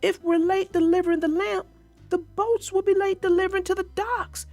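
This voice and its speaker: A woman's voice: very high voice, flat delivery, moderate pace, darkish tone, soft background music, pitched above the performer's own voice. A woman voicing a cautious, earnest male brake car in a children's storybook, speaking carefully and precisely. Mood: nervous. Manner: careful, precise, matter-of-fact.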